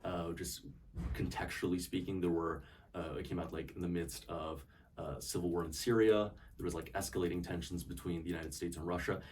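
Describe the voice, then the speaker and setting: A young man answering interview questions in a thoughtful way, often pausing to search for words. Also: low voice, steady tone, darkish tone, slight background noise. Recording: voice picked up from a distance.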